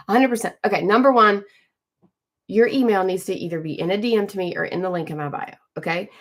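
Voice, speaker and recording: A woman in her forties hosting a casual live stream from home, chatting with viewers, greeting them by name and often clearing her throat. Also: medium pitch. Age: 40s. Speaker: livestream host.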